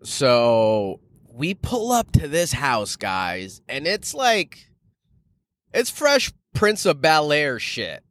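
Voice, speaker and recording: Male podcast storyteller, thirties, higher-pitched and very animated, slangy and profane, unhurried, addressing listeners like friends. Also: no echo, clean studio sound. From Canada, later Arizona. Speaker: podcast host and stand-up comedian. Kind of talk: casual solo storytelling podcast.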